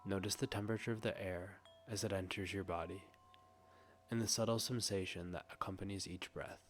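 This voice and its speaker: Low-voiced man guiding a meditation. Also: steady tone, slight background noise. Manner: gentle, soothing, hushed delivery with long pauses. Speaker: meditation guide. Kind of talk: scripted guided meditation.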